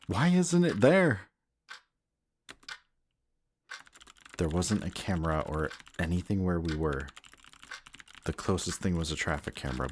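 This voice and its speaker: A man playing a wounded, protective antihero who insists he is a villain, in an emotional, intimate audio roleplay with a halting delivery. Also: low voice, noisy background. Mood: frantic worry. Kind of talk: emotional audio roleplay acting, addressing the listener.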